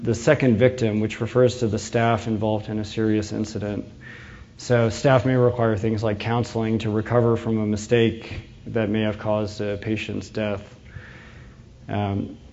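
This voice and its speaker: A man's voice: medium pitch, dark tone, dull audio. A man from New England with a New England American accent, giving a conference talk with frequent fillers and restarts. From New England, USA. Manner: hesitant, many "uh" fillers and restarts. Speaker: conference speaker, engineering manager.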